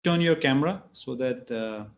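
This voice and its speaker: A middle-aged man, chairing a conference Q&A over a video call in a measured way, with fillers. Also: mid-range voice. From Pakistan. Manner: measured, with fillers and repeated phrases. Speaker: session chair. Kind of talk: moderating a conference Q&A. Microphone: laptop mic on a video call.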